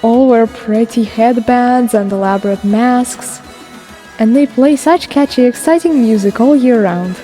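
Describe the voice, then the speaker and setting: A woman playing a lively human fighter who tells stories vividly, in a game fandub. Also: a little high, unhurried, faint music behind, close to an everyday voice, low-quality recording. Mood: enthusiastic. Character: lively human fixer woman, a keen storyteller. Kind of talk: dramatic game fandub acting.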